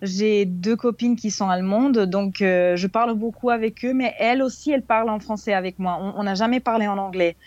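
A woman's voice: mid-range voice, brisk, few pauses, a little mellow, no echo, highs cut off. Hesitant young woman from Serbia. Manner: hesitant, searching for words in a second language. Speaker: interviewee, former exchange student. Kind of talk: interview answers.

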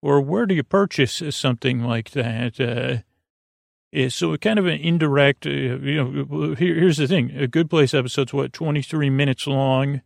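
A man hosting a bedtime podcast in a rambling, hesitant monologue, stammering and drifting off into asides with a gravelly creak in his voice. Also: medium pitch, moderate pace, dry recording, clean studio sound. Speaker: podcast host.

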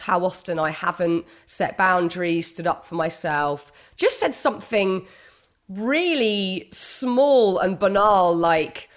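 A middle-aged woman talking candidly as an interview guest, earnest and a little husky, often restarting her sentences. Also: fairly low pitch. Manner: candid, earnest, with frequent restarts and quoted inner thoughts. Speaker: guest author and broadcaster. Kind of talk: conversational interview.

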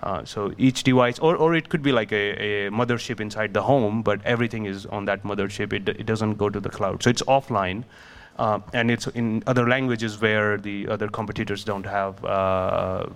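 Low-voiced man explaining tech. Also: fairly even intonation, moderate pace. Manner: technical, explanatory, often restarts sentences. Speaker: startup founder. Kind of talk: interview answers.

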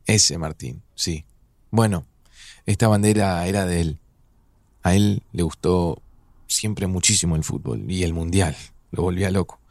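Older argentine dad, gravelly low voice, tender and nostalgic, dry studio sound. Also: male voice, unhurried, no room sound. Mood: wistful. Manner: gentle, wistful, reflective. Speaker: father. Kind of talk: audio drama acting.

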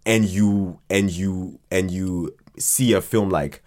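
A man with a fairly low voice talks casually as an interview guest. He takes his time, speaking slowly and haltingly, often doubling back on words before he moves on. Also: a little mellow. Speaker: podcast guest, writer and creator of a fiction podcast.

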